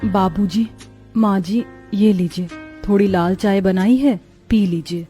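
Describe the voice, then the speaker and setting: A woman voicing a gentle, long-suffering young pregnant village wife with a tender, plaintive manner. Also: mid-range voice, measured pace, soft background music, natural voice.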